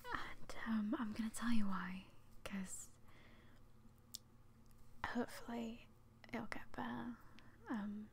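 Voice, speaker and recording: Whispering young British woman, soft ASMR. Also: medium pitch, a little hiss or hum. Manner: whispery, hushed, hesitant and apologetic. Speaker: ASMR video host. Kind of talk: soft-spoken ASMR, casual chat to viewers.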